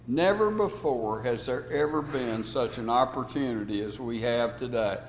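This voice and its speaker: A man's voice: medium pitch, slight background noise, low-quality recording. A man hosting a church radio broadcast, earnestly listing outreach facts and places. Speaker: preacher and radio host.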